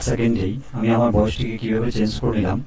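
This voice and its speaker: A man hosting a step-by-step software tutorial. He keeps checking in with the viewer as he explains each click. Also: on the low side, very fast, reverberant, far from the mic. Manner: steady instructional, frequent "ঠিক আছে?" check-ins. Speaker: tutorial host. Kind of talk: screen-recorded software tutorial.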